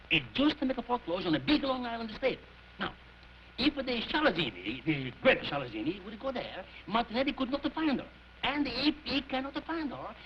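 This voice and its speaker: A man's voice: extremely high pitch, slight background noise, heavily acted. An actor playing a brash, self-important racketeer boss with blustering tough-guy talk in an old comedy film. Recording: muffled old film soundtrack.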